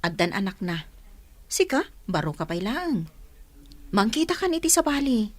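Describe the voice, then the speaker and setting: A woman's voice: mid-range voice, very animated, normal speed. A woman with an Ilocano accent acting in a radio drama as a frank, concerned elder sister giving advice. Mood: concerned, scolding. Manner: frank, advising. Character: frank, concerned older sister of man's former sweetheart.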